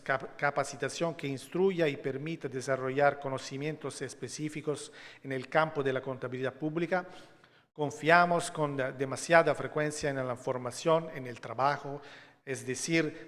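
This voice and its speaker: A man's voice: mid-range voice, a bit bright. A man whose first language is Italian gives a careful statement to a conference panel. He sometimes stumbles over words and restarts them. His voice echoes with a long reverb in a large hall. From Italy.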